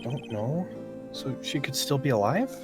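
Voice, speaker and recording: Game dub: sympathetic, unsure young male attorney played by a man, animated intonation, questioning, soft music underneath. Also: medium pitch, a little slow, natural voice. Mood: concerned, curious. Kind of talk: amateur video game voice-over and narration.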